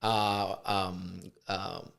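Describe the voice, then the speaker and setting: Laid-back guy from puerto rico, talking slow and halting as a guest. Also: sits low, creaky. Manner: relaxed, hesitant with many fillers. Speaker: chiropractor, guest. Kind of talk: casual interview chat.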